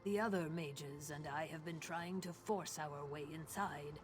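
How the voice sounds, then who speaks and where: A man's voice: a little high, unhurried, close to an everyday voice. A grim reptilian mage from a fantasy video game, played by a man, speaks with hissing, solemn deliberation. The voice is formal and fierce, with prominent music playing underneath. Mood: resolute. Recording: game audio with music behind.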